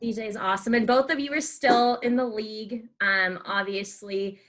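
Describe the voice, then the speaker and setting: A woman's voice: on the high side, steady tone, normal speed, fairly bright tone. A young woman hosting a podcast in a casual interview style. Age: young adult. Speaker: podcast host.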